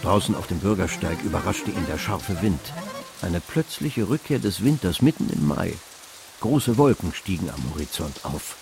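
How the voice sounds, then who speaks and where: An actor narrating a literary radio play as a measured storyteller. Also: male voice, sits low, expressive, creaky.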